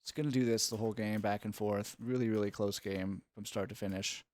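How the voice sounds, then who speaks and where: A man's voice: fairly low pitch, fairly even intonation, a little fast, a little mellow. A man giving analytical play-by-play sports commentary in an instructive, explanatory way.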